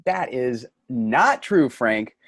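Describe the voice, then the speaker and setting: A man's voice: mid-range voice, very animated, drawn-out words, creaky, no echo, full character voice. A man in a staged comedy reading playing a weary, sardonic office worker, reluctant and exasperated.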